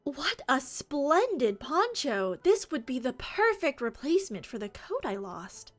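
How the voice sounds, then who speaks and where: A woman's voice: high-pitched, very animated, unhurried. A woman voicing a proud, dapper male lizardfolk toolmaker in a fantasy game, with formal, wordy phrasing. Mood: pleased, boastful. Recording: music behind the voice.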